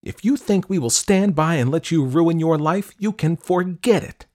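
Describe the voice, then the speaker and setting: A man's voice: medium pitch, brisk, a little mellow, voice pushed up, lightly acted. A man voicing a concerned, firm mother of a teenager in an audiobook, earnest and emphatic. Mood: angry. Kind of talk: audiobook character acting.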